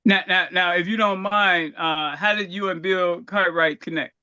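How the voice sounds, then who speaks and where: A man hosting a casual group call, easygoing and quick to laugh. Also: sits high, steady tone, sharp tone, smooth, dry recording, muffled recording. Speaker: host.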